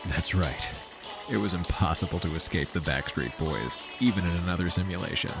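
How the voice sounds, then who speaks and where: An actor playing an uneasy, wry traveler, narrating a science fiction audio drama in a deliberate, self-mocking storytelling manner. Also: male voice, low voice, over music. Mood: wry, reflective. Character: uneasy, wry male traveler narrating a simulation-hopping adventure. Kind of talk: scripted first-person audio drama narration.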